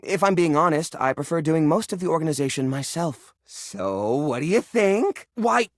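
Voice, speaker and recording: A man voicing an eccentric, excitable junk drawer character in a video game, rambling with theatrical showman flourishes. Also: a little high, moderate pace, no echo, clean studio sound. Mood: proud, whimsical. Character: excitable, eccentric male junk drawer who hoards treasures.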